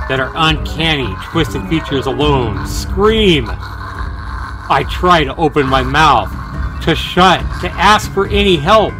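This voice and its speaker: A man's voice: mid-range voice, rough recording. Guy streaming a game, commenting and reading it out dramatically, some music behind him. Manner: profane, exclamatory reactions. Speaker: livestream host. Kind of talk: game livestream commentary with dramatic read-aloud.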